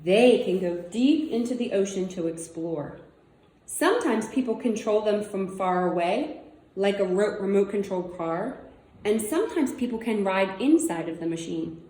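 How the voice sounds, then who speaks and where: A woman's voice: medium pitch, expressive, normal speed, warm tone. A woman reading a children's nonfiction book aloud, using simple child-directed phrasing and wondering aloud in casual asides. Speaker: read-aloud presenter. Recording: roomy, echoing indoor sound.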